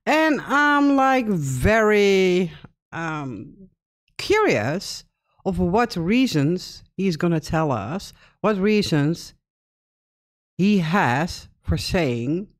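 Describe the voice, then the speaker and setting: A woman's voice: on the low side, animated, takes it slowly. A Dutch woman in her fifties with a Dutch accent, hosting a casual reaction video and chatting in English, often breaking in to agree. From Netherlands. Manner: chatty, thinks aloud, with frequent agreeing interjections.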